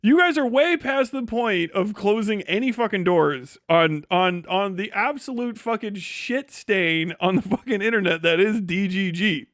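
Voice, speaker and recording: A man's voice: high voice, expressive, moderate pace, hardly any pauses, fairly bright tone, no room sound, clean studio sound. A man doing casual livestream commentary, ranting in a profane, sarcastic and exasperated way. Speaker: livestream host reacting to other streamers.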